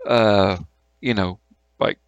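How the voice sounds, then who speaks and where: A man acting as game master, narrating a tabletop game in a halting, colloquial, informal way. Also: fairly low pitch, fairly even intonation, clear, resonant tone, no echo, highs cut off.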